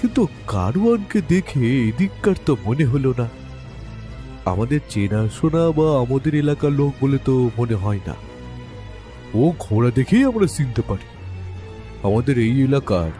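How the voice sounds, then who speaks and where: Horse-carriage driver, voiced by a male narrator: colloquial, insistent, deferential, mellow tone, audio drama with light music. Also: medium pitch, pitched below the performer's own voice, natural voice. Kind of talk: character voice in audio story.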